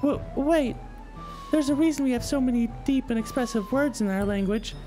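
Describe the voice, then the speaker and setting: A man's voice: extremely high pitch, moderate pace, mellow, soft background music, pitched above the performer's own voice. A man voicing a shy, refined, bookish anime schoolgirl who speaks formally and hesitantly, in a visual novel read-aloud. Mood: earnest. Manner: hesitant, stammering, formal wording.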